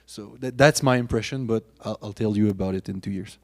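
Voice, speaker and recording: A man whose first language is French, a graduate student on a conference panel, answering lightly and mocking himself with quick laughs. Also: fairly low pitch, darkish tone. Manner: light, self-mocking, laughs between points. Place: conference room at a panel session.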